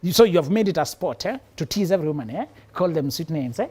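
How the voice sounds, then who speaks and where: A male psychologist from Kenya gives relationship advice on a live show. His pitch swings widely as he talks. He sounds preachy and playful, often breaking into laughter. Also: lively intonation, quick pace, darkish tone, breathy edge. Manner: preachy, laughs often, teasing punchlines, repeats words. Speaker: psychologist guest.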